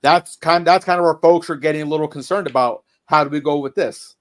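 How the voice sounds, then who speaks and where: A man in casual podcast chat, measured and reflective, often stammering into his points. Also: on the high side. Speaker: podcast co-host.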